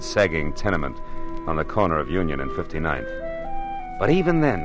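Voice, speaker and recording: An actor playing a wisecracking hardboiled private detective in an old radio drama. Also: male voice, sits low. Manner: dry, wisecracking, laconic. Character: hardboiled male private detective, wry and cool. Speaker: narrator and lead. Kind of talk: 1950s radio acting.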